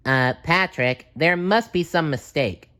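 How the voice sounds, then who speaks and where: A man voicing a cheerful, earnest male sea sponge in a storybook read-aloud, with a high, bright, bouncy put-on voice. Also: measured pace.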